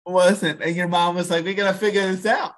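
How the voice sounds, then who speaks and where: A man's voice: sits high, steady tone, a little fast, fairly bright tone. A man hosting a podcast interview, encouraging and repetitive, full of "like" and "you know". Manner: encouraging, repeats himself, lots of fillers. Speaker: podcast host. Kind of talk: casual interview chat.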